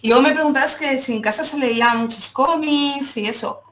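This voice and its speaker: A woman's voice: sits high, a little fast, fairly bright tone. A woman from Seville in Spain, chatting casually as a podcast guest and telling personal stories in a giggly manner. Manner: giggly, laughs often while talking. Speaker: podcast guest, comic artist. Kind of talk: casual chat, personal storytelling.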